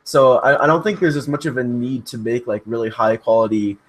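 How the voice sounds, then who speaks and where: A man's voice: medium pitch, steady tone, fairly quick, fairly bright tone, slight background noise. Casual male livestream host, mid-range voice.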